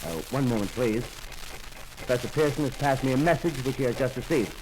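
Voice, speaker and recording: An actor playing a polite, brisk radio field reporter describing a scene live in a 1930s radio drama. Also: male voice, medium pitch, animated, noisy background, lightly acted. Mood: earnest.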